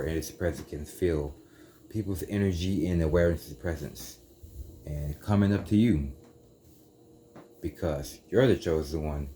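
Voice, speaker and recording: A young man with a very low, dark and mellow voice drifts through a loose spiritual talk, tossing in casual slang and backtracking on his words. A faint noise sits under the recording. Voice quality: deep voice. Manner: meandering, self-correcting, casual slang like "dude". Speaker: YouTuber. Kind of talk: rambling spiritual monologue.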